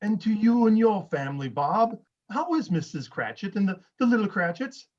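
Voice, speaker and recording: Man as high-voiced cheerful nephew. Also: very animated, normal speed. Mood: friendly. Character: Fred, cheerful, good-hearted nephew of Scrooge. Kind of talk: amateur dramatic reading. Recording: dull lo-fi video-call audio.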